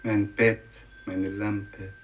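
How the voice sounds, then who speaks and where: An actor playing a secretive villager in a radio drama, speaking in short, hushed phrases. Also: male voice, fairly low pitch, flat delivery, very slow. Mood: mournful. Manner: hushed, terse, urgent conspiratorial murmur. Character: secretive village fisherman fleeing home with his neighbours. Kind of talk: choral radio drama lines. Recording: muffled, noisy vintage radio recording.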